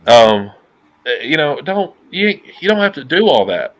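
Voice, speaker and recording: A man's voice: a little high, measured pace, low-quality recording. A man in his thirties with a nasal voice, a guest talking casually and profanely on a video call over earbuds, waving his hands as he talks. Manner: profane, animated, gesturing a lot. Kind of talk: casual interview chat. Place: dim room at home, indoors.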